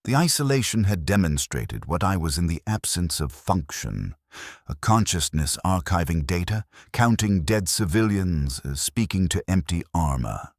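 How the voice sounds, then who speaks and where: Very deep-voiced man narrating a dark story. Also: very animated, moderate pace, dry recording, clean studio sound. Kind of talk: scripted dramatic first-person story narration.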